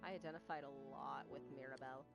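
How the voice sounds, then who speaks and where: A woman's voice: a bass voice, fairly even intonation, brisk, vocal fry. A young woman who grew up speaking Spanish chats casually while playing a game. She has a stutter and rambles, switching between talking to her viewers and reacting to the game. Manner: rambling, talks to chat and to the game at once. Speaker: streamer. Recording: game music playing behind.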